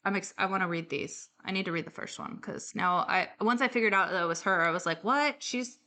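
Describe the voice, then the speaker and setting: A woman's voice: medium pitch, brisk, sharp tone. A woman co-hosting a podcast in a chatty, informal way as she talks about books. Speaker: podcast co-host. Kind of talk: casual book chat.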